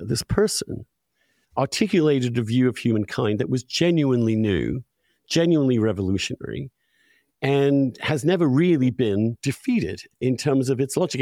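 A man's voice: medium pitch, a little mellow, dry recording, studio quality. A man hosting an interview, speaking discursively and emphatically, building his points through repetition. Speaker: podcast host, interviewer.

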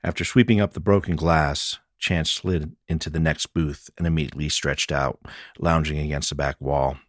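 Male author-narrator for supernatural mystery fiction, low register, dry close recording. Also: fairly even intonation, moderate pace, no room sound. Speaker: author reading own audiobook.

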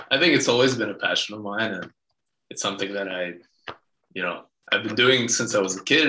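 Guy in his late 20s from israel, russian first language, bright low voice, kinda echoey room. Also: slight background noise. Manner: relaxed storytelling with many fillers. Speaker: podcast guest. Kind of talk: casual interview.

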